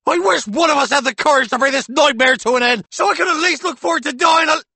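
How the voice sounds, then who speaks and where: A man doing a furious, shouting husband. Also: extremely high pitch, fast talker, gravelly, no room sound, pitched above the performer's own voice, heavily acted. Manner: shouting, exasperated, sarcastic.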